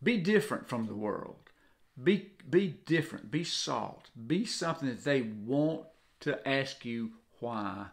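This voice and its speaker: A man's voice: medium pitch, lively intonation, measured pace, creaky. An older man with a Southern US accent giving an informal, earnest devotional talk, often repeating phrases for emphasis. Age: 60s or older. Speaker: co-host of a devotional video. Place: home kitchen, indoors.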